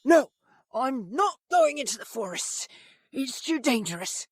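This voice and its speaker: Woman playing a high-voiced, fretful schoolboy wizard. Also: sing-song, a little slow, breathy edge, no echo, full character voice. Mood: alarmed, pleading. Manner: fretful, protesting, stammers when flustered. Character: anxious, cautious schoolboy wizard, a protesting worrier. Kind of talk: video game cutscene acting.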